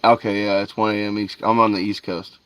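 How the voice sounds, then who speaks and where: A man with a fairly low voice hosts a fishing livestream late at night. He talks in a relaxed, rambling way, reads out viewers' names and says "dude" often. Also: a little fast. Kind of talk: casual livestream chat with viewers. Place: outdoors by a canal at night.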